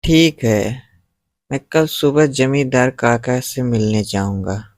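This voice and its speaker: A man's voice: medium pitch, takes it slowly, no room sound, clean studio sound, close to an everyday voice. A man playing a worried, struggling village farmer in a moral-story cartoon, speaking earnestly. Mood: grateful, resolved.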